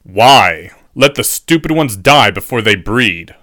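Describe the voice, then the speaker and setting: A man's voice: lowish voice, expressive, takes it slowly, bright, forceful tone, dry recording, studio quality, heavily acted. A man voicing a menacing, sardonic professor in an audiobook story, formal and biting in his speech. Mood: furious, contemptuous.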